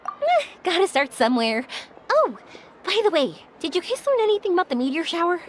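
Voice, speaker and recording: A woman playing a cheerful, chatty, warm-hearted young traveler in a video game, bubbly and encouraging. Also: very high voice, very animated, fairly quick, slight background noise. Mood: thoughtful, chatty. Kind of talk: scripted video game story dialogue. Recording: studio voice over background music.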